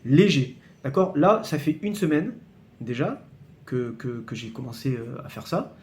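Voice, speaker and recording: A man's voice: mid-range voice, expressive, measured pace, a little mellow. Hesitant man nearing fifty, chatty. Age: 40s. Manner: frequent hesitations and repeated words, checks in with "d'accord?"; emphatic, cautionary tone when warning viewers. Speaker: fitness channel host. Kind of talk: casual explanatory vlog to camera.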